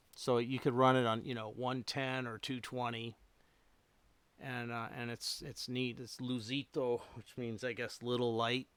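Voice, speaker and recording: A man chatting casually and unscripted as a hobbyist livestream host, rambling a little and thinking aloud in halting phrases. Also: mid-range voice, a bit bright, smooth, dry recording. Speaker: radio repair hobbyist hosting a livestream.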